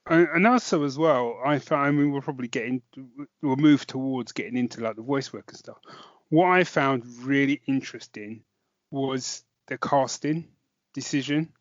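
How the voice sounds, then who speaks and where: A man's voice: medium pitch, moderate pace, no room sound, highs cut off. A man co-hosting a podcast, chatting casually about a review and thinking aloud as he goes. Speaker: podcast co-host.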